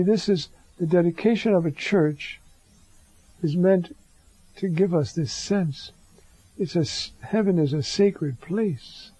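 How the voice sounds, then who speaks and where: A hoarse man giving a slow, reflective homily as a priest, halting often with fillers. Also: sits high, mellow, no room sound, low-quality recording.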